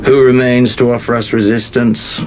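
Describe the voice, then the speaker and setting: An actor playing a menacing, bored warlord prince with a slow, imperious manner in a video game cutscene. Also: male voice, mid-range voice, level tone, background noise, natural voice. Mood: cold, disdainful.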